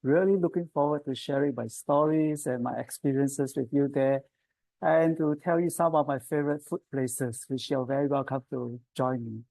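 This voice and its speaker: A man's voice: mid-range voice, steady tone, normal speed, gravelly, dark tone, no room sound, poor audio. A man from Singapore, a sociologist, giving an eager introduction on a video call. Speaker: sociologist and anthropologist.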